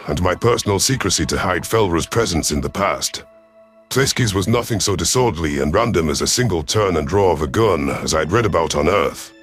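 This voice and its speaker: A man narrating a scripted first-person science fiction story in a measured, solemn manner. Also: deep voice, fairly bright tone.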